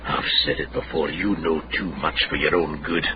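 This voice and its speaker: An actor playing a haughty old lord in a period radio drama, older, threatening and stern. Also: male voice, a bass voice, steady tone. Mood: menacing. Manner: slow, threatening. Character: menacing, haughty old male Scottish judge and lord. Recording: muffled, noisy old radio drama recording.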